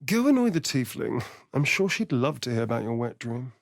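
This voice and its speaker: An actor playing a sly, sardonic vampire rogue in a video game, with a theatrical, arch, drawling delivery. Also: male voice, mid-range voice, very animated, fairly quick, vocal fry. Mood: sly, teasing.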